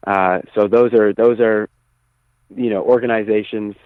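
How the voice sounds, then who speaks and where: A man's voice: lowish voice, fairly even intonation, a little slow, clear, resonant tone, no echo. A man chatting as an interview guest over the phone, choosing his words thoughtfully. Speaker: musician guest. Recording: tinny narrow phone line.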